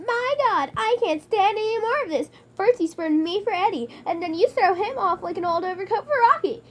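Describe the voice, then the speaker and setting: A woman voicing a jealous young woman who accuses her lover in a shrill, theatrical way. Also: lively intonation, brisk, a bit bright. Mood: accusing.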